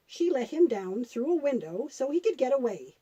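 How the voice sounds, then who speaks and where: A woman's voice: high voice, big pitch swings, moderate pace, breathy edge, highs cut off, full character voice. A woman voicing a patient grandmother puppet who explains and tells Bible stories to children. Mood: patient, instructive. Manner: explanatory, patient, gently instructive.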